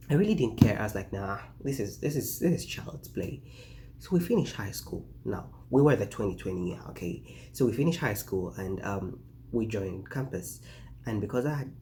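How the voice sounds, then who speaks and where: A man's voice: fairly low pitch, warm tone, slight background noise, rough recording. A man, chatting casually to camera in a rambling way, full of fillers and restarts. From Uganda. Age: 20s. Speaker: vlogger. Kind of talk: casual talking-head vlog.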